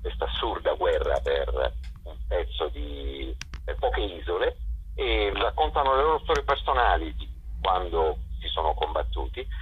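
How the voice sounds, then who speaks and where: A man's voice: low-pitched, big pitch swings, normal speed, slight background noise. A man, a festival director, presenting a programme off the cuff on radio, hesitantly searching for his words over a narrow, tinny telephone-like line. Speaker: festival director being interviewed.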